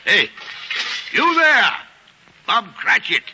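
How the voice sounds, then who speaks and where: An old 1940s radio drama recording, thin and slightly noisy, of a gruff old miser. He is scornful and bad-tempered, swinging wildly from sharp barks to sour muttering. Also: high-pitched, huge pitch swings, slow, highs cut off, full character voice. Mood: angry barking. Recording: band-limited, hissy 1940s radio recording.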